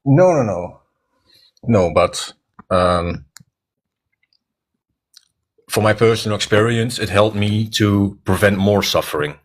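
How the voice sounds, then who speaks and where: A man's voice: lowish voice, slow, pauses often. A man who is a native Dutch speaker, talking in English with a Dutch accent as a guest, hesitant and full of fillers.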